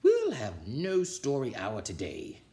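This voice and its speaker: A strict, nasty witch of a schoolmistress from a children's storybook, acted out by a man. She snaps and hisses her orders in a raised pitch, commanding and unpleasant, with big swoops in tone. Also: wildly swooping pitch, slow pace, warm tone. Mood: stern, menacing. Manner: snapping, hissing, commanding. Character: strict, unpleasant witch of a substitute teacher, woman.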